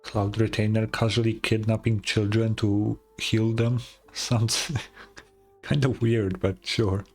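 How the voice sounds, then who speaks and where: A streamer giving casual game commentary, rambling and thinking out loud. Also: mid-range voice, flat delivery, slow. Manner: rambling, musing aloud. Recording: game music behind the commentary.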